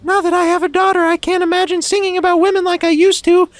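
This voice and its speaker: A man's voice: very high voice, flat delivery, fast talker, no echo, voice pushed up. A man voicing a precocious little girl who lectures her father in a long-winded, moralizing way. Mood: preachy.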